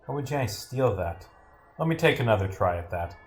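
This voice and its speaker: A man with a medium-pitched voice streams a playtest in a casual, rambling way. He reads dialogue aloud and breaks off for dry asides, with music playing behind him. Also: very animated, brisk. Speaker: streamer, game developer. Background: game music.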